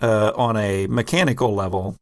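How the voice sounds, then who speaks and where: A man's voice: on the low side, steady tone, moderate pace, no room sound, clean studio sound. A man who runs a game, chatting casually and reflectively with his group. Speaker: podcast game master.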